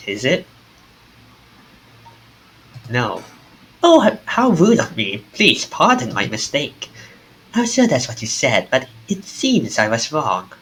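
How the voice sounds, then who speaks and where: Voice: mid-range voice, a bit bright, poor audio. A streamer reading a mysterious, courteous narrator of ghostly tales aloud, in a formal, theatrical manner with small stumbles. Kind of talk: reading visual novel dialogue aloud.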